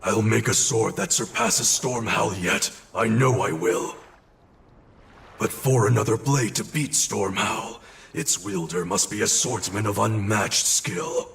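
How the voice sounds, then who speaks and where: Earnest swordsmith for fantasy game: lowish, gravelly, faint ambient noise behind. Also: male voice, animated, measured pace, fairly bright tone, exaggerated voice. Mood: solemn, earnest. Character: earnest, humble swordsmith pleading with resolve. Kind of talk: English-dubbed fantasy game acting.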